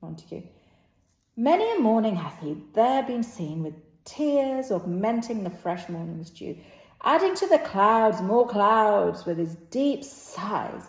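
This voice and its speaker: A woman reading a stage play aloud impromptu and playing all the parts, in a halting, untrained way. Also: medium pitch, very animated. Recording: echoey room.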